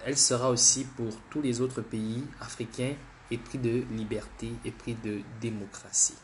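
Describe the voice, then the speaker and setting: A man's voice: medium pitch, steady tone, normal speed, a little hiss or hum. Man with the flu, livestreaming. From Gabon. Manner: repetitive, restarts words, keeps urging viewers. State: has the flu. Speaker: livestream host. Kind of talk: political livestream commentary.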